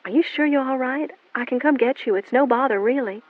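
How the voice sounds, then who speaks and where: Woman doing a frantic mom begging on the phone, pitched up high, tinny dry audio. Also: a little fast, lightly acted. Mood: pleading. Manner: pleading, rising and breathless. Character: frantic middle-aged mother, pleading, guilt-ridden. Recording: narrow phone-like band, dry.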